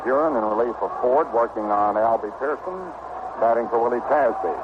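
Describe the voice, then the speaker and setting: Male play-by-play baseball commentator, vintage radio style, clipped and measured delivery, thin band-limited sound buried in loud noise. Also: medium pitch, phone-quality sound. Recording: noisy vintage 1960 AM radio broadcast.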